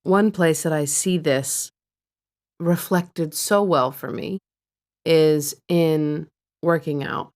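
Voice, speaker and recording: A woman's voice: deep voice, steady tone, slow, a little mellow. A woman co-hosting a podcast, chatting candidly and with a self-deprecating edge. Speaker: podcast co-host. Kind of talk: casual chat.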